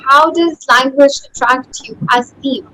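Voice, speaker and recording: A woman hosting an interview, asking formal questions politely and in a measured way. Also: high voice, bright, forceful tone, poor audio. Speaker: interviewer, host. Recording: faint background noise.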